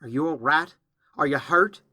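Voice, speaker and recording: Storybook role: blunt big-sister mare from the farm, emphatic, bright forceful delivery male reader performing. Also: medium pitch, moderate pace, no room sound, studio quality, lightly acted. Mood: alarmed.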